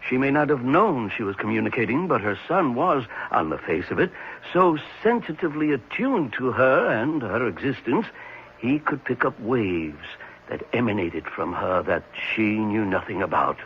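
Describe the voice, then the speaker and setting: A man playing a thoughtful, assured psychiatrist in a 1970s radio drama, explaining things in a measured, reflective way with some hesitation. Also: mid-range voice, big pitch swings. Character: thoughtful, assured male psychiatrist at a private clinic. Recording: muffled, noisy 1970s radio recording.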